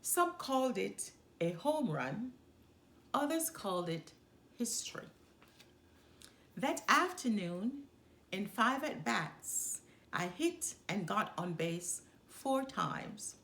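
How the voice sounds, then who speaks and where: A woman reading a children's picture book aloud as a storyteller, with deliberate phrasing and dramatic emphasis. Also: mid-range voice, big pitch swings, fairly bright tone. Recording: roomy, echoey sound.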